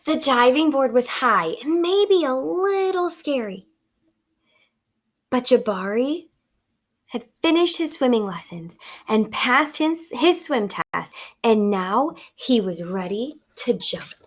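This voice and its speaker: A woman teacher reading a picture book aloud to children, expressive and instructive, pausing to ask questions. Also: high voice. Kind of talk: read-aloud lesson, storytelling with questions to children.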